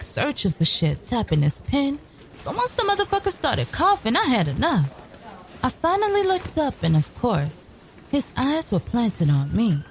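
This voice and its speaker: A woman's voice: mid-range voice, warm tone, slight background noise. A woman playing a sly, brash young woman killer in an audio drama, telling her story in a sassy, profane, colloquial way. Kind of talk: scripted first-person narration, audio drama.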